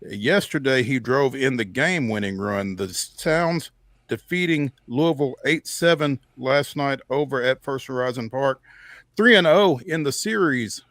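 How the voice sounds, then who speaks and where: A man hosting a sports talk show, recapping scores and standings in measured phrases with frequent pauses. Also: mid-range voice, lively intonation, a bit bright, no room sound, clean studio sound.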